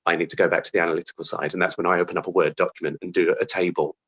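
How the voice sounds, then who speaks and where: A man's voice: low-pitched, flat delivery, racing, fairly bright tone, slightly rough. A man talking casually as an interview guest about his craft, thinking aloud and restarting his phrases as he goes.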